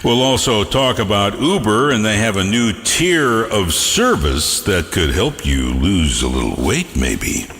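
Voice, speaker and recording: A man's voice: fairly low pitch, big pitch swings, unhurried, few pauses, fairly bright tone. A man working as a music radio DJ, chatting playfully with hammy, jokey asides and bits of news. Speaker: radio DJ, host. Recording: reverberant radio stream with music bed.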